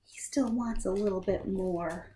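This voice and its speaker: A woman reading children's stories aloud in an expressive, deliberate storytime style, acting out the words as she goes. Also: medium pitch. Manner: animated, deliberate, acts out lines and sound words. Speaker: storytime reader for a library. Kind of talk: expressive children's picture-book read-aloud. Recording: roomy echo, faint background noise.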